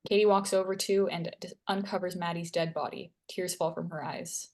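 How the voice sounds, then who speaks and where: Slightly low-voiced woman narrating a screenplay. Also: fairly even intonation, moderate pace, a little mellow. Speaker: narrator reading stage directions. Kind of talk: scripted narration at a screenplay table read.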